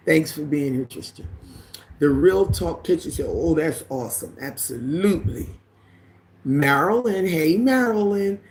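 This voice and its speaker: A woman with a deep voice hosts a casual livestream. She greets viewers by name, reads their comments aloud, and drifts into preachy asides. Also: lively intonation, slow, pauses often. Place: living in the Caribbean.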